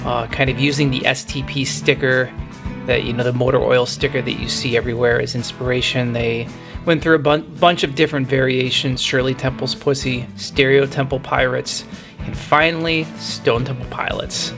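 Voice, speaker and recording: A man's voice: mid-range voice, fairly even intonation, moderate pace, gravelly. Middle-aged man hosting over guitar music. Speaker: podcast host. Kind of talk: music podcast talk, retrospective commentary.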